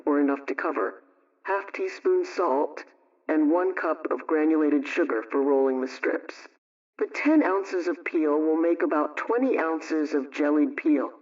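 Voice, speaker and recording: Distant, dull-sounding brisk homemaking aunt acted by a woman. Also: low-pitched, darkish tone. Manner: brisk, emphatic, instructive. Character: brisk, motherly no-nonsense radio homemaking adviser, female. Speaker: storyteller and homemaking adviser. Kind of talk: scripted first-person radio storytelling.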